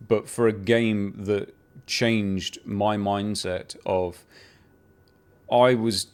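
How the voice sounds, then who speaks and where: A man chatting casually about a video game he has been playing, rambling with restarts and the odd swear, building into excited bursts. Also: lowish voice, extremely slow, clear, resonant tone. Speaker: gaming video creator. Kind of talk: casual unscripted game talk to camera.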